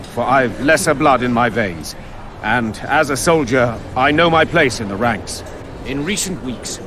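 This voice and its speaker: A proud soldier and constable from a fantasy game , acted by a man. He speaks in formal, measured oratory at a middling pitch. Noticeable ambient noise sits behind the voice. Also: lightly acted. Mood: firm, resolute. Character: proud plain-spoken soldier, constable of a war-torn fantasy kingdom. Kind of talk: formal dramatic game acting.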